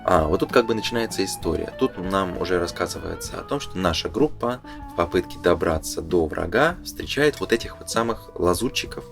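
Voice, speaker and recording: A man's voice: low-pitched, lively intonation, brisk, vocal fry, music in the background. A man giving casual, unscripted explanatory commentary, thinking aloud hesitantly as he reads through a booklet. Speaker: presenter reading through a game booklet.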